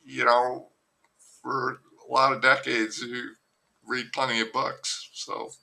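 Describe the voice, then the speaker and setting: A man's voice: medium pitch, little pitch movement, slow pace, gravelly, bright, forceful tone. An older man, an author being interviewed remotely, telling long rambling stories with frequent restarts. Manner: rambling, self-interrupting storyteller. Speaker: author and guest. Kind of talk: casual interview chat.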